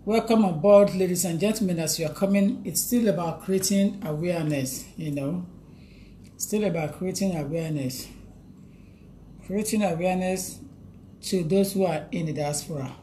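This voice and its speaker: Nigerian lady on a livestream, low voice, chatty and repetitive, bit of background hiss. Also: mellow. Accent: Nigerian English. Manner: chatty, repeats phrases, reads out viewer names, laughs often. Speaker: livestream host. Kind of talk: live stream talk, greeting viewers.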